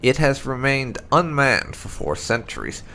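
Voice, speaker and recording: A man narrating fantasy lore from a script in a measured storyteller's manner. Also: medium pitch, very animated, bright, forceful tone, slight background noise. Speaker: gaming channel host reading game lore aloud.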